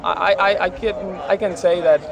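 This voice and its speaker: High-voiced man, crowd around. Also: steady tone, moderate pace, creaky, poor audio. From Costa Rica. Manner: hesitant, repeats words while searching. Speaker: interviewed concert fan. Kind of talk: street interview. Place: outdoors near an arena on a windy day. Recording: crowd noise, wind outdoors.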